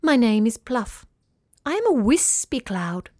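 A woman voicing a wispy, friendly little female cloud in a gentle, helpful tone. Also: on the high side, sing-song, measured pace, no room sound, clean studio sound.

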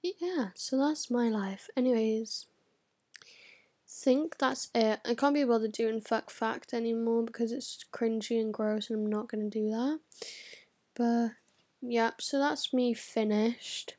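A teenage girl chatting straight to camera in a rambling, scattered way. Her voice sits a little high, and she swears freely and often drops into a whisper mid-thought. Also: fairly even intonation, slow pace, warm tone. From United Kingdom. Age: teens. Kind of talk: casual vlog chat.

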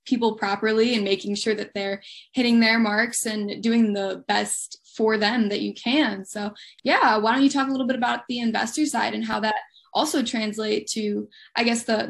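A woman hosts an interview podcast with a fairly high voice. She talks in a chatty, rambling way and adds friendly asides around her questions. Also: steady tone, normal speed, creaky. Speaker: podcast host. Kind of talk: casual interview conversation.

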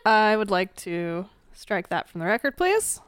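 A playful woman co-hosts a true-crime podcast, chatting and joking as she leads the case narration. Her voice is smooth and resonant. It is recorded close and dry. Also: mid-range voice, sing-song, unhurried, no room sound. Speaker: podcast co-host. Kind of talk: casual true-crime chat.

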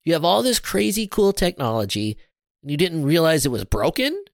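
Storybook young girl, played by a male reader: raised pitch, determined and emphatic, bossy streak, dry close recording. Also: expressive, a little fast, gravelly, no echo. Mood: incredulous. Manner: insistent, emphatic.

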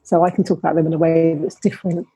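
A woman's voice: sits low, flat delivery, racing, dark tone, breathy edge, no echo, rough recording. A middle-aged woman, an academic guest, speaking thoughtfully and measuredly in conversation, often restarting her sentences. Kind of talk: reflective interview conversation.

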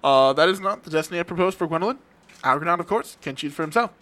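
Haughty older noblewoman acted by a man, commanding and disapproving, raised pitch, forceful bright edge. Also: expressive, fast. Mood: haughty, dismissive. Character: imperious, haughty older aristocratic lady.

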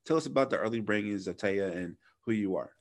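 A man's voice: on the low side, fast talker. A man in his thirties from Louisiana, hosting a podcast interview in a laid-back, slangy, teasing way. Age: 30s. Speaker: podcast host.

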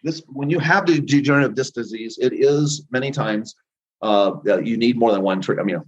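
A man's voice: medium pitch, brisk, dull audio. A man in his fifties, a guest on a call, talking emphatically and repeating himself with great confidence. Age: 50s. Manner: emphatic, repetitive, self-assured. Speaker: guest, energy healer. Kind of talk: casual interview talk.

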